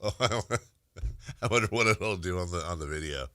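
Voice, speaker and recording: A man's voice: deep voice, lively intonation, normal speed, pushed and bright, dry recording, clean studio sound. A man hosting a morning sports radio show, nasal and joking, laughing often with his co-host. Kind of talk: casual morning radio banter. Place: radio studio. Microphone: studio microphone.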